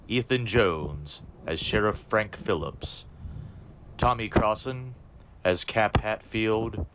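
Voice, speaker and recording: A man with a fairly low voice reads a scripted announcement. His delivery is slow, measured and formal, with noticeable noise behind him. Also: a bit bright. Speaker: announcer reading credits. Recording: clear background noise with clicks.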